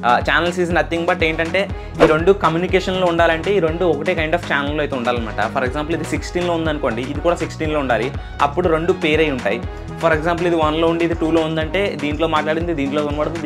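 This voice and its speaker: A man's voice: a little high, very fast. A man chatting casually and explaining things as he hosts an unboxing and product demo. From India. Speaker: video host. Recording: loud music behind the voice. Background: loud music.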